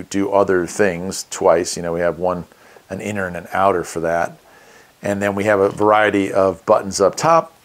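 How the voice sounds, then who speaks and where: A man hosting a casual product walkthrough, easygoing and thinking aloud as he goes. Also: low-pitched. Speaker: radio reviewer, channel host.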